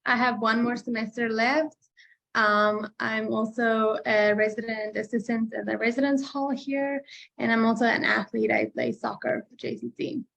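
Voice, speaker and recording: A woman's voice: on the high side, moderate pace, vocal fry, a bit bright, poor audio. A young South African woman introducing herself as a college student, with a South African accent. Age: young adult.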